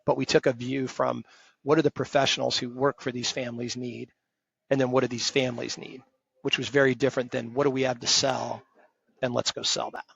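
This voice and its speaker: A man's voice: medium pitch, level tone, a little fast, vocal fry, husky, dry recording, muffled recording. A man in his fifties, a retired firm partner, talking directly and emphatically as a guest in an interview. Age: 50s. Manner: emphatic, direct, self-described loud voice. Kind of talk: conversational interview.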